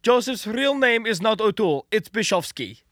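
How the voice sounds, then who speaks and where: A man's voice: high-pitched, lively intonation, normal speed, a bit bright, dry recording, studio quality. A man acting in a comedic radio play as an earnest, courteous Soviet defector with formal, stilted diction. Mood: confessing, anxious. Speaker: student radio actor.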